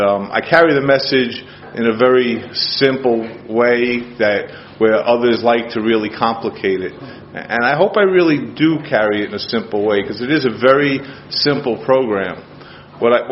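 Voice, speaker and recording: A man from Queens talks to a room about his life, plain-spoken and halting, stumbling over restarts and choking up now and then. His voice sits at an ordinary pitch. The recording sounds dull and lo-fi, with a faint hum of the room behind him. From Queens, New York. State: choked up with emotion. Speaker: speaker at a recovery meeting. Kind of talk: personal recovery talk to a group. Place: meeting room.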